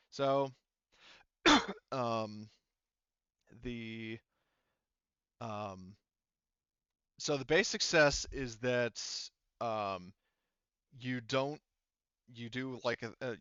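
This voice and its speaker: A man running a tabletop roleplaying game as game master, explaining casually and often pausing to think aloud. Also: mid-range voice, bright, forceful tone, clear, resonant tone, no echo, dull audio.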